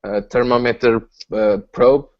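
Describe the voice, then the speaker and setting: A man with a Portuguese accent, a native Portuguese speaker, chatting as a guest on a video call, halting and searching for words in English with frequent laughs. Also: mid-range voice, fairly even intonation, dull audio. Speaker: guest reviewer. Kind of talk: casual chat on a video call.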